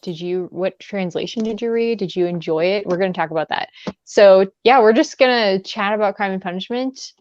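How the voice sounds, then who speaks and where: A woman's voice: medium pitch, brisk, a little mellow, smooth. A woman hosting a casual livestream book chat in a chatty, informal way. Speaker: livestream host. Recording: dull, lo-fi livestream audio.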